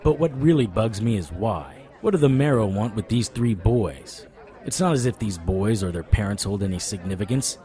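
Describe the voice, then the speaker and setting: An actor playing a stubborn, wisecracking supernatural private investigator in an audio drama, wry, flirtatious and persuasive. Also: male voice, lowish voice, lively intonation, moderate pace, warm tone, some chatter behind, lightly acted. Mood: determined. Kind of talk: audio drama acting with noir internal monologue.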